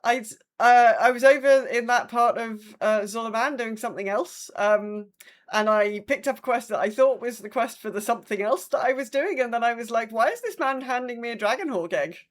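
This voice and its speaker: Higher-voiced woman in casual podcast chat. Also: normal speed. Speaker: podcast co-host.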